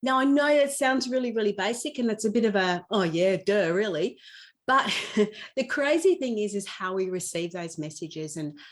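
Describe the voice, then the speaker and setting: A woman's voice: medium pitch, lively intonation, normal speed. Earnest middle-aged woman explaining conversationally. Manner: earnest, explanatory, repeats phrases for emphasis. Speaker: podcast guest. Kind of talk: conversational personal storytelling and explanation.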